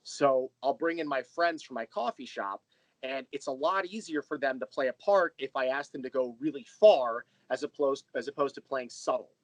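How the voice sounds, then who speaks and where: A man's voice: mid-range voice, normal speed, no room sound. Man explaining his work, close dry sound. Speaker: interview guest, audio drama creator and writer. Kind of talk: casual interview conversation.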